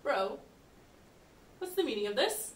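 A woman voices an earnest, weary puppet father, high-pitched and unhurried. The homemade show is recorded at a distance in an echoey room. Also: sing-song, very noisy. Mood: trusting, dopey. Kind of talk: amateur puppet-show acting. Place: indoor room with puppet stage.

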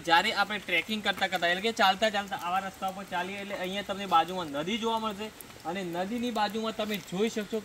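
High-voiced young man guiding a forest walk. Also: slight background noise. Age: young adult. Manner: addresses viewers as friends, guiding tour while walking. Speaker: travel vlogger. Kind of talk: walking travel vlog. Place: outdoors in monsoon forest by a stream. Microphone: handheld phone camera, close. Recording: outdoor phone audio under loud music. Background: loud background music.

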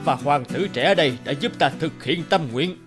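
A man's voice: fairly high pitch, lively intonation, normal speed, music in the background, lightly acted. A man voicing a dignified, grateful older king under a curse in a fairy-tale cartoon, speaking formally. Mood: solemn.

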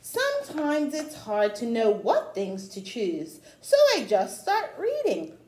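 A middle-aged woman reading a storybook aloud to young children, with chatty asides, in an expressive, encouraging way. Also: a little high, slow pace. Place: small room at home, indoors. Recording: echoey small room.

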